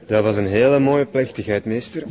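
A man's voice: lowish voice, brisk, mellow, close to an everyday voice. An actor playing a deferential male cult follower in a television drama, eager to please his master. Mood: fawning, grateful. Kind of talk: television crime drama acting. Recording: muffled lo-fi sound, faint music.

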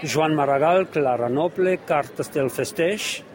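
A man's voice: fairly high pitch, measured pace. A man working as a radio reporter, giving an unscripted spoken field report as he describes what he sees and reads out titles. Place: outdoors on a busy city street among book stalls. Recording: busy outdoor street crowd noise. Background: street crowd.

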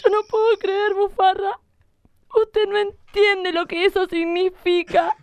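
A woman's voice: very high voice, little pitch movement, measured pace, no room sound. A woman playing an exasperated, melodramatic judge with an Argentine accent, overwrought and pleading in comic radio theatre. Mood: exasperated, despairing.

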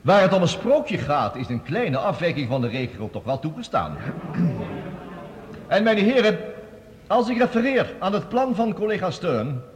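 A man's voice: sits high, very animated, normal speed. A man acting in a radio drama as an eloquent, self-assured scientist who addresses a world council in a theatrical, pompous way. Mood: combative, sardonic. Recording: hall-like reverberant radio drama recording.

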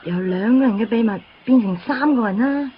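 A woman's voice: on the high side, fairly quick, a little hiss or hum, close to an everyday voice. An actress playing a playful, teasing young woman in a Cantonese radio drama, with a Hong Kong accent. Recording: muffled radio drama with music bed.

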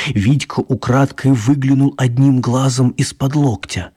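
A male narrator for a children's radio drama, with a slightly husky, mid-pitched voice. He tells the story theatrically, stretching words for dramatic emphasis. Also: darkish tone.

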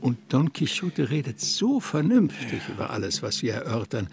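Male narrator, expressive range, creaky edge, literary radio drama over music. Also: medium pitch, normal speed.

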